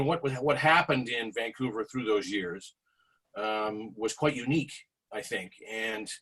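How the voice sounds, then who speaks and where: Guest speaker, man in his 40s, slightly husky, meandering video-call storyteller. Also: medium pitch, a bit bright, rough recording. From Canada. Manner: rambling, many restarts and fillers.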